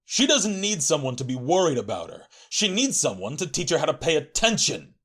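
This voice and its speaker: A man playing a stern, stubborn warrior mentor in an audio drama, gruff and clipped in manner. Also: on the high side, big pitch swings, fairly quick, fairly bright tone. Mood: stern, worried. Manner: gruff, clipped commands, scolding.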